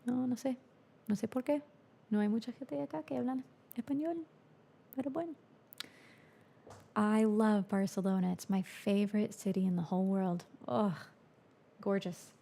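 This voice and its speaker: A woman's voice: mid-range voice, steady tone, moderate pace, vocal fry, pauses often, warm tone. A young woman hosting a music livestream from her home studio, chatting casually with viewers and answering their chat, now and then in Spanish.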